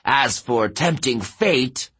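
A man's voice: medium pitch, animated, slow, a bit bright, slightly rough, full character voice. A man voicing a condescending, boastful giant counselor, a menacing villain in a fantasy audiobook. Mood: smug boasting. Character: fiery giant counselor, smug, menacing, smooth-talking villain.

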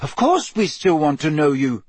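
A loyal, earnest teenage boy who speaks with urgency, as a man puts him on. The voice sits higher than the reader's own and is a little husky. Close, dry recording. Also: big pitch swings, normal speed, no echo.

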